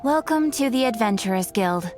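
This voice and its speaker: A high, composed voice from an actress plays a female guild receptionist in a fantasy game. She is formal, polite and measured, with music clearly behind her. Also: lively intonation, lightly acted. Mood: courteous, businesslike. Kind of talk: video game voice acting.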